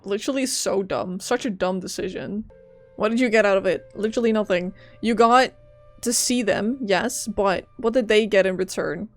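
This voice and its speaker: A young woman streamer comments casually on a game. Her voice sits at a medium pitch, and she is blunt, flippant and deadpan. Faint music plays behind her. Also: moderate pace.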